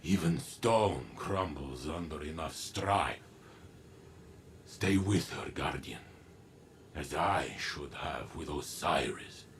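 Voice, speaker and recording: Slow, deep, weary alien warrior. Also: male voice, slight background noise, full character voice. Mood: solemn, resolute. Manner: slow, grave, deliberate, halting. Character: weary, remorseful alien warrior leader, male, solemn and protective. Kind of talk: dramatic game cutscene acting.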